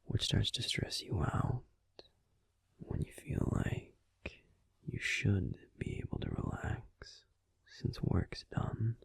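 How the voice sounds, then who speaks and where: A man voicing a grumpy young werewolf boyfriend in an ASMR roleplay, talking in a soft, soothing, breathy whisper close to the mic. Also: on the low side, huge pitch swings, slow pace, no echo, full character voice.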